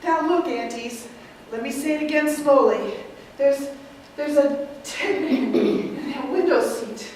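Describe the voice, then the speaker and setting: A woman's voice: high-pitched, moderate pace, a little mellow, slight background noise, lightly acted. A woman reading the part of an exasperated young male nephew, pressing anxious questions in an echoey room, far from the mic. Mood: incredulous. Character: fretful young male nephew, a drama critic.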